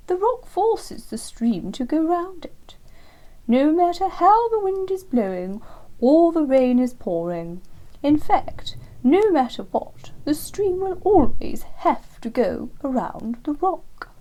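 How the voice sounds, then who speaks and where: A woman voicing a haughty female storybook owl, lecturing in a condescending, drawn-out and emphatic way. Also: high voice, dark tone, pitched above the performer's own voice, exaggerated voice, poor audio. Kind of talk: storybook character voice.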